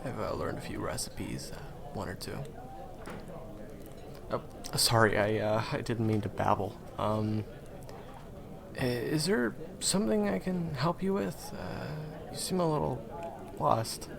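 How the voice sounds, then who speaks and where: A man's voice: mid-range voice, measured pace, creaky, crowd noise. A man acting in an audio roleplay as a friendly, awkward, eager young guide to monster hunters, talking hesitantly and stammering to the listener. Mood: modest, chatty.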